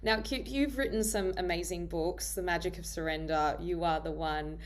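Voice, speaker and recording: A woman's voice: lowish voice, moderate pace. A woman hosting a podcast interview in a conversational style, responding and posing questions to her guest. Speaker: podcast host.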